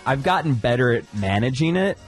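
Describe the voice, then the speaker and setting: A man's voice: mid-range voice, animated, fairly quick, soft background music. A man in his mid-thirties hosting a casual radio chat, talking candidly and reflectively about himself. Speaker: radio host.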